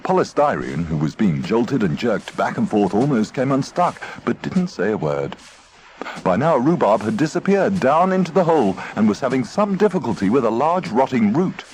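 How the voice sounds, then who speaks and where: A man narrating a children's story in an expressive, playful manner. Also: mid-range voice, moderate pace. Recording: faint noisy old tape transfer.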